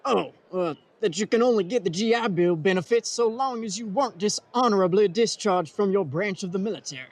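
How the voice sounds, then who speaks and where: A man's voice: high-pitched, fairly quick, few pauses. A man playing an earnest, naive friend of war veterans, the innocent straight man in radio sketch comedy. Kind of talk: old-time radio sketch comedy acting.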